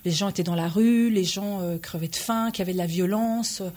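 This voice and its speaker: A woman from Belgium, a playwright and stage director, answering interview questions in an earnest, measured way with frequent pauses. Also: mid-range voice, darkish tone.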